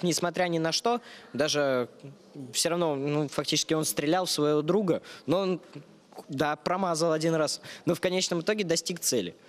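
A young man with a fairly high-pitched voice argues his point earnestly. He speaks as an audience member in a studio discussion. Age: young adult. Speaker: audience participant. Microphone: handheld microphone held out to him.

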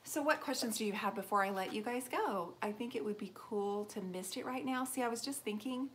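A woman's voice: medium pitch, a little fast, a bit bright, slight background noise. A woman in her forties hosting a casual live painting stream from her home workshop, chatting and answering viewer comments as she works. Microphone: camera mic, moves toward and away from it.

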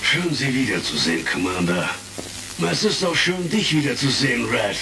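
A man's voice: medium pitch, very animated, moderate pace, gravelly. A man playing a genial, joking secret service chief in a retro science-fiction radio drama. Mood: jovial. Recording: crackly vintage record, distant, music behind.

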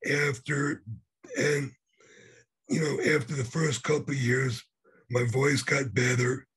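A man in his sixties from Brooklyn with a husky, damaged voice, telling his story slowly as a guest over a video call. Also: medium pitch, level tone, a bit bright. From Brooklyn, New York. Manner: slow, measured, pushes through pauses. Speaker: podcast guest. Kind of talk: interview storytelling. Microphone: video call webcam.

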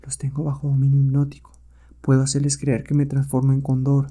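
A noble comic-book adventurer, read by a male narrator, with a mellow voice. He is calm and measured, quietly sure of the power of his mind. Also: mid-range voice, lightly acted. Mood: quiet resolve. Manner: calm, self-assured, measured. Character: calm, noble turbaned hero man with hypnotic powers.

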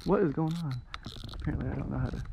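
A man talks excitedly as he fishes from a kayak on open water, coaching his partner with quick restarts. His voice sits a bit high. Wind and waves hiss loudly over the action camera mic. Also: gravelly. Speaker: kayak fishing vlogger. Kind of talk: casual chat while fishing. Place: on a kayak at sea, outdoors.

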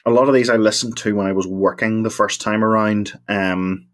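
A man co-hosting a podcast, chatting casually and haltingly, often repeating words as he starts. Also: on the low side, fairly even intonation. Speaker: podcast co-host. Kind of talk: casual chat.

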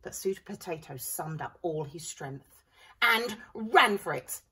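A middle-aged woman reading a children's story aloud in a dramatic, expressive way. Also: lowish voice, slow pace, breathy edge. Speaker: primary school staff member reading aloud. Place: small school library corner indoors. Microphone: camera a few feet away. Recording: slightly distant room camera microphone.

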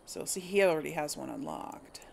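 A woman's voice: deep voice, animated, a little fast, gravelly. A woman doing casual game commentary, thinking aloud in a tentative way. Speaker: gaming video host and player. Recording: some background noise.

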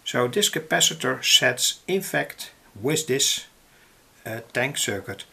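A man with a Dutch accent explaining electronics in an unscripted, halting and deliberate tutorial style. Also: mid-range voice, expressive, vocal fry, sharp tone, husky. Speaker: electronics hobbyist presenter. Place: indoors, at a table.

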